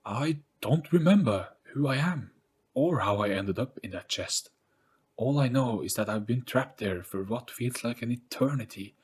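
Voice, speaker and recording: A man voicing a moody male ghost in a theatrical, drawn-out way for an audio story. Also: medium pitch, breathy edge, voice pushed up. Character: moody male ghost, menacing then courteous and sorrowful.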